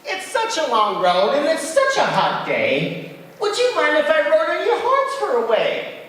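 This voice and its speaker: A man voicing a freeloading little bug in a children's storybook, cheerful and pushy, with a shrill, laughing delivery. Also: huge pitch swings, a little slow, a bit bright, exaggerated voice. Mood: cheeky. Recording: echoey hall from far off.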